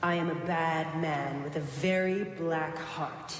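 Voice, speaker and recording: A woman reading a dark ghost story as part of a live radio drama. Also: low-pitched, steady tone, slow, slight background noise. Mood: grave, ominous. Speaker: actor in an ensemble reading. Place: large town hall stage. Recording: very echoey hall, clear music.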